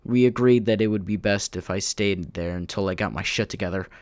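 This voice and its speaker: A man's voice: on the low side, fairly quick, darkish tone, no echo, studio quality, natural voice. A man playing a bitter, despairing man in his late thirties who narrates his diary in an anguished, self-loathing audio drama style. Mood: anguished confession.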